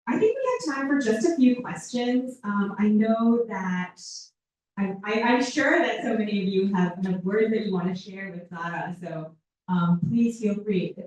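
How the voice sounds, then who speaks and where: A woman moderator thanks the speaker and invites the audience to ask questions, in a gently encouraging way. Her voice is mellow. It sounds distant and roomy, as though she is far from the mic in a hall. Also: mid-range voice, expressive, a little slow. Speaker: event moderator.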